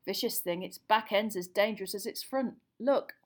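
A woman voicing a brisk, frank young male dragon keeper, emphatic and colloquial, in an audiobook. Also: medium pitch, natural voice. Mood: explaining. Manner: emphatic, matter-of-fact, colloquial. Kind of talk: audiobook character voice.

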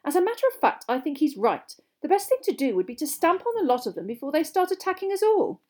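A woman acts out a clever, bossy schoolgirl from a storybook. She is snappy and insistent, high in pitch, with an exasperated, animated delivery. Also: quick pace.

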